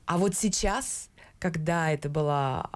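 A woman's voice: low voice, a little slow. A woman in her thirties, a stage actress guesting in a small studio, talking hesitantly with many fillers and restarts. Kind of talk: live radio and TV studio interview. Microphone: studio boom mic.

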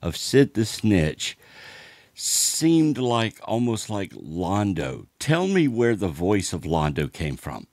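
Deep-voiced host, lively intonation. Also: male voice, takes it slowly, no room sound, clean studio sound. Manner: affirming with short backchannels. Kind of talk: interview questions.

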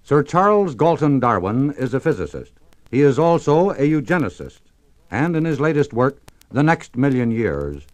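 A man's voice: medium pitch, a little mellow. A man presenting a 1950s radio programme, reading a scripted introduction in a formal announcer's manner.

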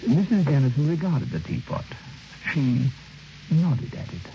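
An actor playing a brooding man who narrates a dark radio drama in grave, literary, measured phrases. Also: medium pitch, sing-song, background noise. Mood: reflective. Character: brooding male first-person narrator of a dark tale. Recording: dull old radio recording with music.

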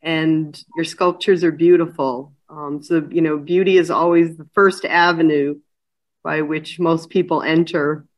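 A woman hosting an interview, asking questions and offering soft praise. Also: low voice. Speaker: podcast host. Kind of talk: casual interview.

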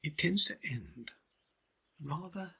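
A man in his seventies reading a children's storybook aloud in an expressive, theatrical way. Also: fairly high pitch, takes it slowly, gravelly, breathy edge. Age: 70s. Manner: theatrical, animated delivery with hushed asides. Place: indoors, small timber-beamed room at home.

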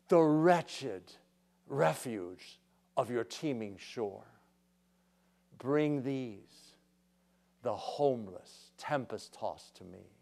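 Slow, lively dramatic male presenter. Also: mid-range voice. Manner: dramatic, slow poetic recitation. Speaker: narrator. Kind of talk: studio presenter reading a poem and commentary.

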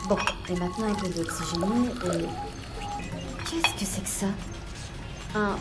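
A woman's voice: mid-range voice, unhurried, over loud music, natural voice. A woman playing a terse alien scientist in an audio drama. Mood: puzzled.

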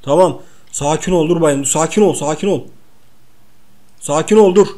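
A man's voice: fairly high pitch, normal speed, warm tone. A man playing a video game and chatting in casual slang with a co-player, exclaiming and repeating short phrases.

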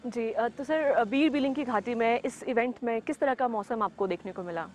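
A woman's voice: fairly high pitch, normal speed, darkish tone, a little hiss or hum. A woman asking polite, hesitant interview questions outdoors. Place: open grassy field in the mountains.

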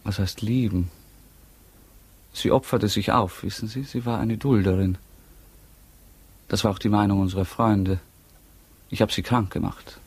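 A man's voice: lowish voice, steady tone, measured pace, pauses often, darkish tone, husky. An actor in a 1950s German radio drama playing a prisoner who denies his identity, ironic, insistent and politely provocative. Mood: brooding confession. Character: defiant prisoner, a man denying his identity.

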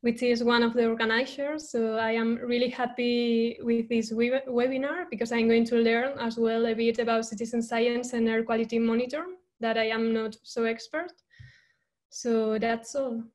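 A woman's voice: fairly high pitch, flat delivery, normal speed. A young woman, a science communicator introducing herself on a video call in a friendly, plain way. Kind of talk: webinar co-host chat. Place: home room, video call.

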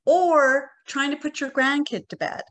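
A woman's voice: high voice, measured pace. A middle-aged woman explaining things to an online club with enthusiasm and emphasis. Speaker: workshop presenter. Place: living room on a video call.

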